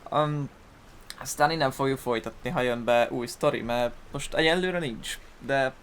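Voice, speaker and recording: A man gives casual gameplay commentary as a video host. His voice sits at an average pitch and his talk is informal and rambling. There is faint background noise. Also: moderate pace, a bit bright.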